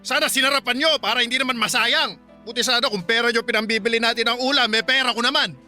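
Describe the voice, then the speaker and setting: Radio drama: hot-headed Filipino father, loud and insulting, very forceful, fast delivery. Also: very high-pitched, fairly even intonation, soft background music, exaggerated voice. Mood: angry, scornful. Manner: loud, scornful, argumentative. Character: short-tempered, insulting, bullying Filipino husband and father.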